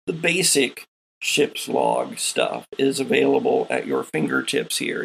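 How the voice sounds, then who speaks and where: A man running a tabletop sci-fi game, narrating scenes in an improvised, deliberate and descriptive way as game master. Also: mid-range voice, gravelly, fairly bright tone, a little hiss or hum, rough recording.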